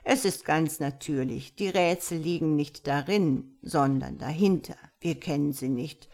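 A woman voicing a wise, patient tomcat in a storybook, speaking in a measured, gently instructive and slightly pompous way. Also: low voice, creaky, voice pushed down. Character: wise, patient male tomcat, calm mysterious mentor. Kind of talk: storybook character voice.

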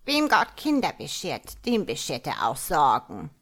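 A woman's voice: low voice, huge pitch swings, unhurried, full character voice. A woman voicing a big, gloomy, touchy male frog in a storybook reading, grumbling and dropping in quacks. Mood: glum, complaining. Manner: croaky, grumbling, interjects quacks. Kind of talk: storybook character voice.

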